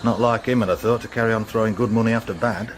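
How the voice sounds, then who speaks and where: Middle-aged male detective, actor, lowish pitch, polite, quietly probing, understated radio drama, noticeable background noise. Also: steady tone, quick pace, natural voice. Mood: probing, dry. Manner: understated, terse questioning, polite. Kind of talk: naturalistic radio drama acting.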